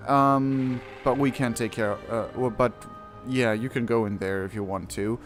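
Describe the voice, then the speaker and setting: Casual male gamer reacting, mid-pitched. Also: fairly even intonation, measured pace. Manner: acts out mock quotes, rambling. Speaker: gamer reacting on his channel. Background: faint game music.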